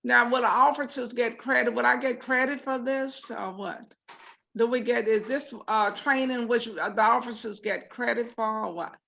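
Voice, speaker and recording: A woman attending an online workshop from her laptop, asking questions haltingly. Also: on the high side, steady tone, vocal fry, a bit bright, a little hiss or hum. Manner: halting, searches for words. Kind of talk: casual questions on a call. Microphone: laptop on video call.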